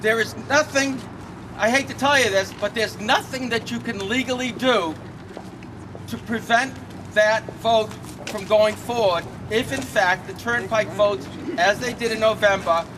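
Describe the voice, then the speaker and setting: A man giving a blunt, fact-packed speech at an outdoor rally without a microphone, stumbling over fillers and restarts. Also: sits high. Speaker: rally speaker. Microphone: no working microphone, recorded from a distance. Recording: outdoor rally with loud traffic noise.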